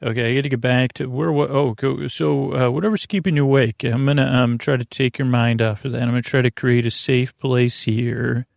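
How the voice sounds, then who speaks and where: A man with a gravelly voice hosting a bedtime podcast in a halting, whimsical, rambling monologue that keeps interrupting itself. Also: mid-range voice, steady tone, a little mellow, dry recording, studio quality. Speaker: podcast host.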